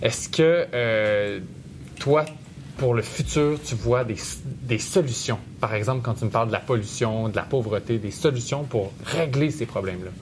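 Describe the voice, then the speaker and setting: A man's voice: medium pitch, moderate pace, a little hiss or hum. A man interviewing teenagers for a podcast, encouraging and a little hesitant. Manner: encouraging, repeats "très bien", hesitant fillers. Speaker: interviewer hosting a school podcast.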